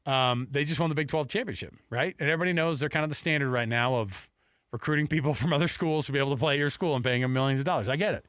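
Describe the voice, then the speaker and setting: A man hosting a sports talk radio show, telling stories and bantering in an animated, emphatic way. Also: mid-range voice, racing, no room sound, clean studio sound. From Texas and Seattle. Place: radio studio. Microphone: close studio microphone.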